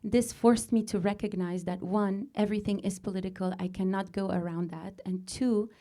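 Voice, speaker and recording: A woman in her forties from Lebanon, a native Arabic speaker, hosting a show and opening it with an earnest, deliberate monologue full of pauses and restarts. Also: mid-range voice, steady tone, mellow. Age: 40s. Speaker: host. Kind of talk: opening monologue to a live audience.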